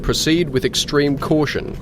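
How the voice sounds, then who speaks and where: A man's voice: on the high side, steady tone, measured pace, background noise. A man playing a stern, humorless marshal giving clipped, authoritative orders in a sci-fi audio drama. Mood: commanding. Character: stern, commanding male military marshal.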